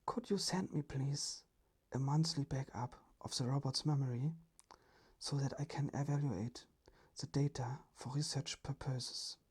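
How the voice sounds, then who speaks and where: Older male professor, voiced by an ASMR reader, thoughtful, courteous, whispery, slow, storybook. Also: mid-range voice, fairly even intonation, a little mellow. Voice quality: breathy, whispery.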